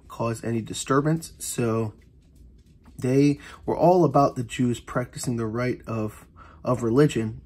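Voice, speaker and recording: A man's voice: mid-range voice, a little mellow, breathy edge. A man giving an informal Bible study lesson. He speaks earnestly, often repeats himself and restarts with fillers. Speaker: Bible teacher. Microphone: voice-over on an overhead camera view of an annotated Bible.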